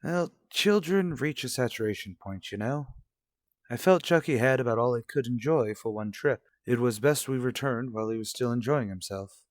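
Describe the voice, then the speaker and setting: A man's voice: mid-range voice, animated, moderate pace, dry recording, clean studio sound, close to an everyday voice. A man playing a self-assured, dismissive father and husband in an old-fashioned radio soap, formal and slightly pompous. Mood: composed, formal.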